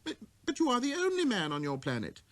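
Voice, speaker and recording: A man's voice: very high-pitched, wildly swooping pitch, fast talker, dry recording, voice pushed up, heavily acted. A man voicing a small, curious, persistent boy from another planet in a storybook. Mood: puzzled. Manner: questioning, insistent.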